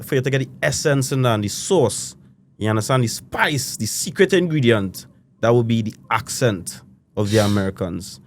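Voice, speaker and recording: A man's voice: lowish voice, a little hiss or hum. Trinidadian man talking loosely. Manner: rambling, loose island phrasing, frequent "you know". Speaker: guest musician. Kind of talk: casual interview chat.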